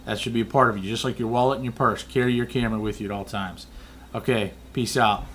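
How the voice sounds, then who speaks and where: A man talks through a photography tip in a low, flat monotone. He rambles and restarts his sentences often, filling gaps with ums and uhs. A faint background hum sits under his voice. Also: fast. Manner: rambling, many fillers and restarts. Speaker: photography vlogger. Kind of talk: casual explanatory talk.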